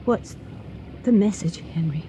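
An actress playing an older, anxious and inquisitive wife in a radio drama, with a probing, intent delivery. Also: female voice, lowish voice, unhurried, mellow, natural voice. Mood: curious, tense. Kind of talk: 1980 radio drama acting. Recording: noisy old radio drama recording.

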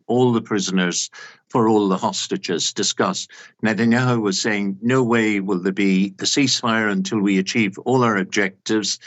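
An older man giving analysis as an expert guest in a news interview, earnest, emphatic and deliberate. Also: lowish voice, fairly bright tone. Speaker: expert guest, director of an organisation.

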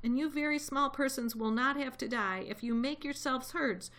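A woman's voice: fairly high pitch, expressive, unhurried, fairly bright tone. A woman voicing a kindly, earnest male elephant from a storybook, loyal and anxious, with an emphatic, pleading delivery. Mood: rousing. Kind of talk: read-aloud storybook character voice.

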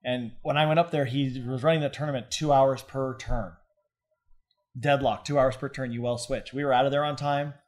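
Relaxed man explaining tournament plans. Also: medium pitch, moderate pace. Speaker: tournament organizer and co-host. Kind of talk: casual chat about tabletop gaming.

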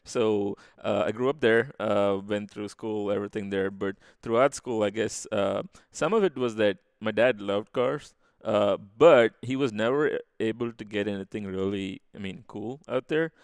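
A man's voice: fairly low pitch, normal speed, hardly any pauses, clear, resonant tone, no echo, clean studio sound. A man from India with an Indian accent, chatting casually as a podcast guest. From Chennai, India. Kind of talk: casual interview chat.

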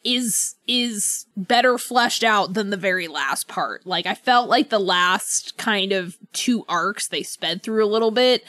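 Woman, podcast co-host, mid-pitched voice, dry sound, casual book chat, conversational, qualifies opinions. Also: bright, forceful tone, dry recording.

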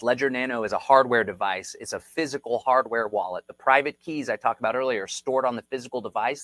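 Texan guy in his 30s teaching a livestream, chatty and upbeat, mid pitch, dry close sound. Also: dry recording. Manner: energetic, chatty, reads viewer comments aloud. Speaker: crypto instructor. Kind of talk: live-streamed tutorial.

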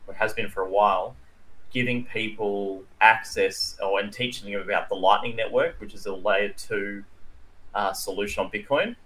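A man's voice: fairly low pitch, normal speed, fairly bright tone, low-quality recording. A man co-hosting a podcast, casually explaining his views in conversation. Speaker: podcast co-host.

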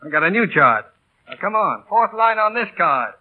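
An actor playing a tough, brusque boss of a small airline in 1940s radio drama, clipped, commanding and slangy. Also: male voice, high-pitched, very animated, moderate pace. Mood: firm, insistent. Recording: tinny, band-limited vintage radio broadcast.

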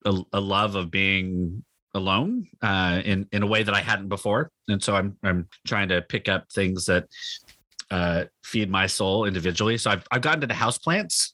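Bright-voiced middle-aged Californian man, chatting. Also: sits low, normal speed, dry recording, clean studio sound. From Northern California, United States. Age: 50s. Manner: self-deprecating, jokey, rambling. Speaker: pastor, podcast guest. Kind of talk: casual conversational interview.

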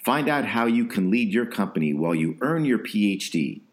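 A man hosting an interview podcast, reading a sponsor spot and then asking his guest questions in a measured way. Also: lowish voice, sing-song. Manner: measured, reads sponsor copy then asks conversational questions. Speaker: podcast host.

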